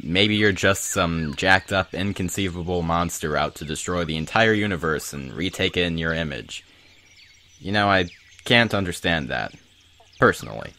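A man playing an earnest, slightly nerdy teenage boy adventurer in a dramatic comic dub, speaking in a halting, pensive way. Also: deep voice, gravelly, sharp tone, a little hiss or hum, heavily acted. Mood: worked up.

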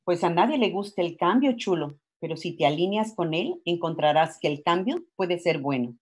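An older woman playing a warm sixties veterana spiritual healer, read over a video call. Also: on the low side, expressive, fairly quick, slightly rough. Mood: affectionate. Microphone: video call from home.

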